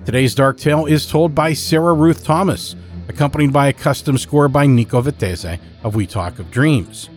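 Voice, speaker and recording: A man's voice: medium pitch, normal speed. A man reading a scripted introduction as the host of a horror fiction podcast. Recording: music bed under the voice. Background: music.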